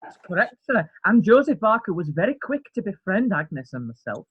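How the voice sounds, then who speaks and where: A woman's voice: mid-range voice, big pitch swings, moderate pace, breathy edge, phone-quality sound. A woman playing a practical farmer husband. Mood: earnest. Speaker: defendant.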